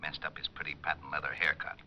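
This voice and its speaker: An actor playing a guarded, dry homicide cop, terse and heard down a telephone in a radio drama. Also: male voice, very deep voice, level tone, quick pace. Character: cagey, dry male homicide police officer. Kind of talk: old-time radio drama acting. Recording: dull old radio, quiet telephone voice.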